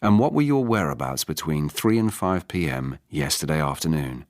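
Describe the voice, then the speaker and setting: Male narrator as a policewoman in an audiobook thriller: formal, probing, matter-of-fact, in a low register. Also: expressive, normal speed, dry recording, clean studio sound. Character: brisk, polite, probing female police detective.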